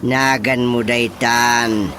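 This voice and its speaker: A man's voice: medium pitch, level tone, very slow, pushed and bright, faint music behind. A man with a Filipino accent playing a folksy, easily muddled village chairman in a comedic radio drama. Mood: bemused.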